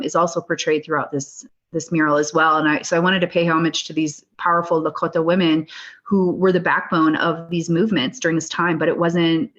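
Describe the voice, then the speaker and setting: A woman's voice: low-pitched, a bit bright, muffled recording. A woman guest artist in an interview, speaking earnestly and thoughtfully, often restarting her sentences. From Standing Rock, US. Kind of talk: interview conversation. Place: Denver, US.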